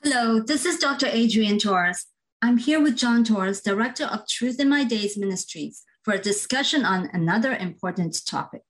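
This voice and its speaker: Woman hosting a podcast, calm, reads her questions off a script. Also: a little high, moderate pace, rough recording. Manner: composed, reads out prepared questions. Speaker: podcast host.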